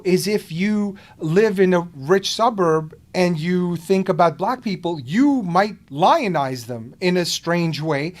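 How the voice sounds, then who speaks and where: A man hosting a podcast, born in the Soviet Union and speaking English as a second language, talking in a rambling way with many restarts and fillers. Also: sits high. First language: not English. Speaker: podcast host. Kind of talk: casual conversation.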